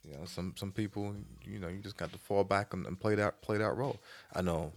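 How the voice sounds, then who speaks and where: Very deep-voiced man chatting casually. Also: fairly quick, faint music behind. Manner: colloquial, repeats himself to press his point. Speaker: podcast co-host giving advice. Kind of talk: casual banter and opinion.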